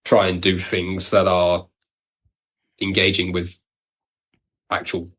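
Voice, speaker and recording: A man with a fairly low voice speaks as a writer being interviewed. He chats casually and wryly, slipping into little acted-out reactions as he explains things. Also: monotone, takes it slowly, fairly bright tone. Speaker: audio drama writer, podcast guest.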